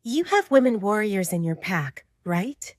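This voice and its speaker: A woman voicing a hesitant, inexperienced young woman with a soft voice in a werewolf romance audio drama. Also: medium pitch, sing-song, measured pace, no room sound, studio quality. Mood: soft, tentative.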